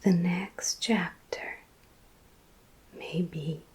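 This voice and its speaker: Very slow whispering woman storyteller. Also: on the low side, fairly even intonation, a little hiss or hum. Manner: soft unvoiced whisper, slow and drawn-out. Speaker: ASMR storyteller playing a vampire. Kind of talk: whispered ASMR storytelling roleplay.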